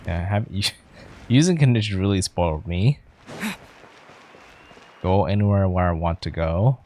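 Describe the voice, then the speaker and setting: A man's voice: sits low, expressive, measured pace, dark tone, a little hiss or hum. A man streaming a game with casual commentary, musing and thinking aloud. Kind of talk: casual let's play commentary. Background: game music.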